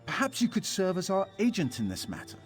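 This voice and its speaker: A haughty scholar-adventurer in a fantasy video game, rather high in pitch and very lively in intonation. He is wordy, pompous and forever aggrieved, with faint music behind him. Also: fairly quick. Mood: indignant. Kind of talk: video game character dialogue.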